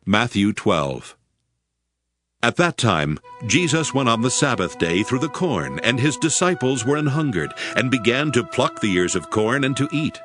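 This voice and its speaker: A man narrates scripture in a steady, reverent manner, his pitch moving expressively through each sentence. Music plays clearly beneath him. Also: measured pace.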